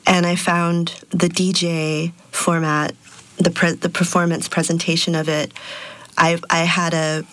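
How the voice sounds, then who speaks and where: A woman's voice: on the low side, level tone, measured pace, a bit bright, dry recording, rough recording. A woman in her thirties, an artist born in Peru and raised in Texas, answering interview questions in a reflective way with many fillers. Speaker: sound artist and DJ.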